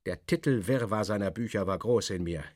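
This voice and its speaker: A man narrates literary satire in a fairly low voice. His delivery is wry and animated, staged with dramatic pauses. The sound is very dry, with no room around it. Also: dry recording. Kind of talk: theatrical scripted first-person narration.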